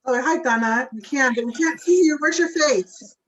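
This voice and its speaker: A woman moderating a family video call, prompting relatives with quick check-ins. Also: sits high, a bit bright. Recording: muffled lo-fi call audio.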